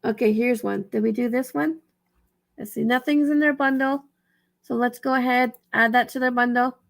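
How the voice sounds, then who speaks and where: Casual female tutorial vlogger, higher register, very creaky and raspy, tired, thinks out loud. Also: steady tone, moderate pace. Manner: rambling, thinking aloud, self-correcting. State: tired, dry-throated. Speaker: vlogger giving reselling tips. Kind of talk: casual screen walkthrough tutorial.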